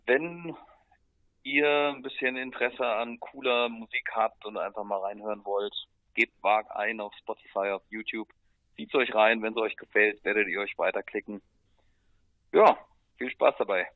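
A man's voice: fairly low pitch, steady tone, normal speed, dry recording, narrow, tinny audio. A man, a musician guest, answering casually and spontaneously in a laid-back, colloquial way.

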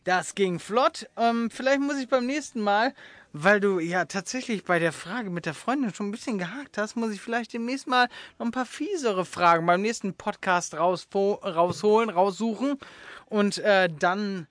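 A man's voice: high-pitched, moderate pace, a bit bright, no echo. Informal male podcast host, dry close sound. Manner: informal, slangy and encouraging. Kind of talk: casual interview chat with quick-fire questions.

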